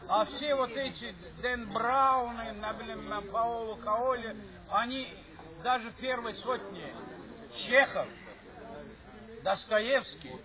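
An older man from Azerbaijan addresses his former classmates in an assembly hall, speaking in a higher voice. He wanders, restarts and repeats phrases as he goes. The recording is muffled and lo-fi, and the audience murmurs throughout. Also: slow. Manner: rambling, repeats himself, stops to restart. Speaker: alumni speaker. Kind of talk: informal speech at a reunion. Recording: dull lo-fi recording with chatter from the audience.

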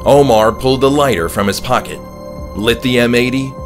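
A man's voice: medium pitch, normal speed. A man narrating a horror audiobook as its author, in a dramatic scripted style. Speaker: author narrating his own horror story. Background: music.

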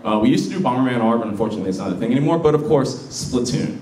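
A man's voice: medium pitch, animated, rapid-fire, a little mellow, a little hiss or hum. A man giving a casual convention panel about his streams, chatty and enthusiastic with lots of fillers. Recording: echoey hall from far off.

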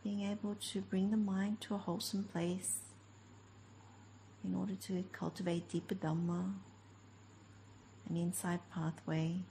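A woman leading a guided meditation as a teacher, speaking gently in short, unhurried phrases with long pauses. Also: on the low side, flat delivery, a little hiss or hum, poor audio. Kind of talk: guided meditation, slow spoken instruction.

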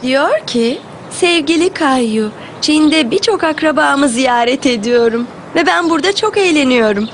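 A woman voicing a gentle, caring young mother in a children's cartoon, patient and encouraging. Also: high-pitched, lively intonation, a little slow, few pauses, a little hiss or hum. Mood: cheerful. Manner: patient, encouraging, simple clear phrasing.

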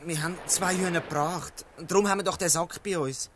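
A man's voice: sits high, fairly even intonation, brisk, noisy background, lightly acted. A male actor playing an earnest, defiant boy who pleads in Swiss German in a radio play. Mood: earnest, explaining. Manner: pleading, insistent. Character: earnest, defiant orphan boy, protective and honest. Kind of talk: dialect radio play acting.

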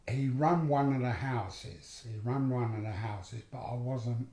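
An older man from London, speaking slowly and plainly with pauses as he recalls his memories in a living room. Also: medium pitch, mellow, echoey room. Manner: slow, plain, pausing. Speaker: interview guest, abuse survivor. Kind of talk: recounting memories.

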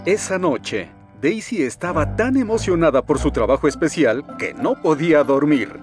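A man's voice: a little high, big pitch swings, normal speed, faint music behind. A man with a Latin American accent narrating a children's train cartoon as a storyteller. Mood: storytelling.

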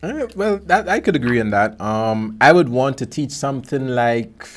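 A young man with a mixed Caribbean lilt leads a relaxed podcast chat, his voice sitting at a middle pitch. He jokes often and breaks into laughter, speaking into a close studio mic. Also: normal speed. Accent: Caribbean English, mixed Guyanese and Bahamian. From Guyana. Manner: joking, laughs often, easygoing. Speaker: podcast host. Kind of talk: casual interview chat. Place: small studio with desk. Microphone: studio mic on stand.

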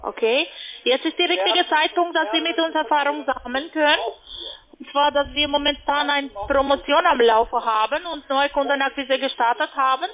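High-pitched female telemarketer on the phone. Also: fairly even intonation, moderate pace. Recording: tinny narrow phone line.

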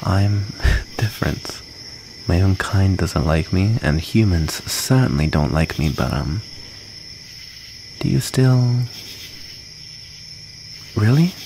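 Low, gravelly, hesitant young vampire played by a man. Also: lively intonation, full character voice. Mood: hurt, vulnerable. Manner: hesitant, halting, soft-hearted delivery. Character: shy, wounded, gentle outcast young male vampire. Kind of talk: ASMR comfort roleplay. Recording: noisy, cricket ambience behind.

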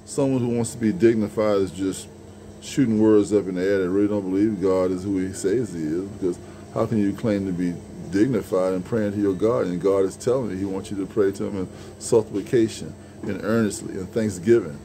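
A middle-aged man preaches in an earnest, conversational way, circling back and repeating his points. His voice is low, gravelly and mellow. He is recorded on a phone held at arm's length in a small room lined with shelves. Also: a little fast, slight background noise, rough recording. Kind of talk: informal sermon. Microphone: phone camera at arm's length.

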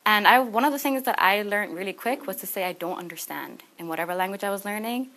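A woman's voice: mid-range voice, quick pace, fairly bright tone. A young adult woman, a student speaker, giving an informal, conversational presentation in a small classroom. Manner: conversational, anecdotal. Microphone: camera a few meters away.

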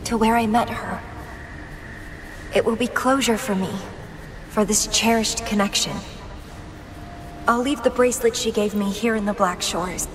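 An actress voicing a proud, obsessive young female warrior in a video game, with a theatrical, brooding, deliberate delivery. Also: mid-range voice, steady tone, noisy background, lightly acted. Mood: resolute, wistful. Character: proud, obsessive young female warrior, battle-hungry, fighting her own decline. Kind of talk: dramatic video game voice acting. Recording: long reverb, music behind. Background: game music.

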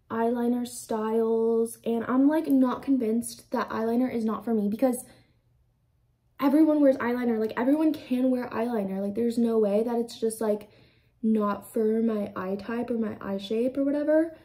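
A woman's voice: a little high, warm tone. Chatty young woman rambling with "like". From United States. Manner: chatty, rambling, heavy use of "like". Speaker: beauty vlogger. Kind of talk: casual get-ready-with-me chat. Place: small indoor room with a plain backdrop.

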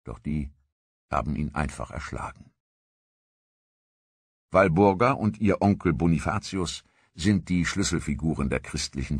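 A man narrating a history documentary from a script in a measured, grave storytelling manner. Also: rumbling low, slightly rough, dry recording.

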